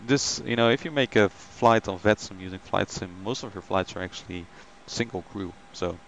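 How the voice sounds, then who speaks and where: A man's voice: deep voice, moderate pace, fairly bright tone, a little hiss or hum, rough recording. A man hosting a flight simulator live stream, chatting casually with many ums and greeting viewers by name.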